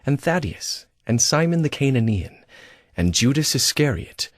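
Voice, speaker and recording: A man narrating scripture in an audio drama, reading in a steady, earnest way. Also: medium pitch, moderate pace, no echo, clean studio sound. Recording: music bed behind voice.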